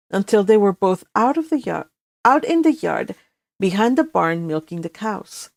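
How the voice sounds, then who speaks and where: A woman's voice: medium pitch, a little mellow, dry recording, clean studio sound. A woman reading scripted storybook narration from a classic novel.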